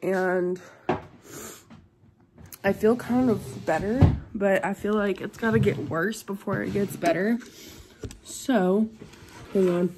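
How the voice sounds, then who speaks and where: A young woman, hoarse and congested from being sick, chatting tiredly in a home vlog. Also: slow pace, pauses often, background noise. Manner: tired, low-energy, chatty. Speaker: vlogger. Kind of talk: casual vlog chat. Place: at home indoors.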